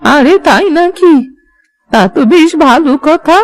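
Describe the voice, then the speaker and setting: A woman's voice: high-pitched, lively intonation, a little slow. A woman voicing an indulgent, fussy old village grandmother in a cartoon. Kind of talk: cartoon voice acting.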